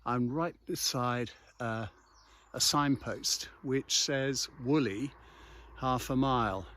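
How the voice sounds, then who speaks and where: A man's voice: medium pitch, slow, a bit bright. A man narrating an unscripted local history walk outdoors in a conversational, explanatory storytelling way. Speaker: local history walking presenter. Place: outdoors on a misty hillside road.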